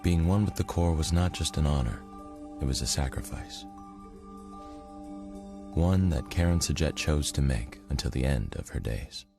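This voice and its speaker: A solemn storyteller in a sci-fi game cutscene. The voice is extremely deep, grave and measured. Music plays clearly behind it. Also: male voice, expressive, exaggerated voice. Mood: solemn, reverent.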